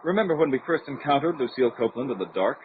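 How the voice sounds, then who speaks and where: An actor playing a calm, commanding adventurer hero, measured and unruffled, in the style of an old-time radio serial. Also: male voice, medium pitch, close to an everyday voice. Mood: composed. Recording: tinny narrow-band radio recording with hiss.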